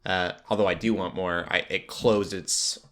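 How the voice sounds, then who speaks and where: Male podcast host with a slightly deep but bright, forceful voice, in relaxed casual chat. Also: normal speed. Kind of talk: casual chat about shows and films.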